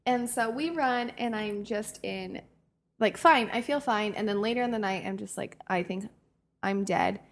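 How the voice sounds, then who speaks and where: A woman's voice: on the high side, normal speed. A young woman co-hosting a podcast, telling personal stories in a slangy, self-deprecating way and acting out quoted remarks. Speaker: podcast co-host. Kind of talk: casual chat, telling personal anecdotes.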